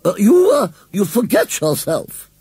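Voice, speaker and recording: A man's voice: high-pitched, wildly swooping pitch, a little slow, darkish tone, husky, no echo, voice pushed up, full character voice. A man voicing a prim, haughty older village lady who speaks in a fussy, reproving way. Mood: indignant. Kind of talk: storybook reading.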